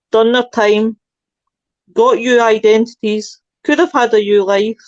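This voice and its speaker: A woman's voice: mid-range voice, darkish tone, no room sound. A Scottish woman co-hosting a casual panel chat, emphatic, with apologetic asides. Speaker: panel co-host. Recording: dry, dull lo-fi sound.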